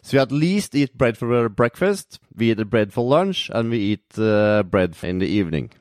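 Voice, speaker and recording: A male podcast host with a Norwegian accent speaks in a fairly low voice, recorded close and dry. He introduces and interviews in non-native English, pausing and repeating words as he goes. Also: animated, a little mellow, no room sound. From Norway.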